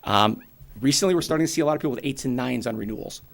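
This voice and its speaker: A man in his forties with a New England accent, giving a brisk, plainspoken financial presentation to a town board and explaining with everyday examples. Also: medium pitch, gravelly. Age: 40s. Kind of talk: financial presentation at a public meeting.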